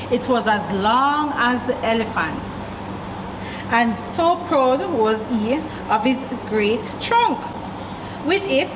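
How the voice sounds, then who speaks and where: A woman with a Jamaican accent reading a story aloud to children, with deliberate emphasis and questions to young listeners. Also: sits high. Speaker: library storyteller. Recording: echoey room, distant mic, clear background noise.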